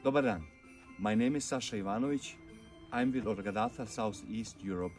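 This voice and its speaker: Man, low voice, heard from a distance. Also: huge pitch swings, a little fast, gravelly, darkish tone, soft background music. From Serbia. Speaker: company employee. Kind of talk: recorded greeting and explanation. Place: outdoors in a park.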